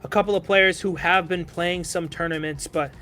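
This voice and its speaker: A man's voice: high-pitched, steady tone, normal speed, sharp tone, a little hiss or hum. A man casting a live esports stream, recapping results in a chatty way full of "you know.". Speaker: esports caster.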